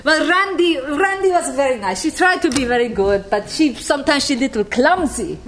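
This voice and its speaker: High-voiced woman with an Icelandic accent. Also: lively intonation, moderate pace. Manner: rambling, chuckling as she talks. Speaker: speaker sharing memories of a friend. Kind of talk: casual storytelling to a gathering.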